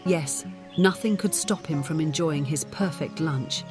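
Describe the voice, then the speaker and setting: A man's voice: on the high side, a little slow, music in the background. A man reading scripted audiobook fiction as its narrator.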